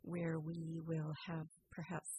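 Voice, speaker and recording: A woman speaking as a guest in a casual interview, explaining things in a measured, emphatic way. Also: deep voice, completely flat delivery, dark tone, slightly rough. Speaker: radio theater company member, guest.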